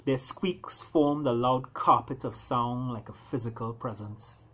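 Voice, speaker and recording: A man reading his own fiction aloud as a storyteller, with theatrical pauses and emphasis. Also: medium pitch, fairly bright tone, slightly rough. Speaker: author reading own novella. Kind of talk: storytelling, dramatic scripted narration.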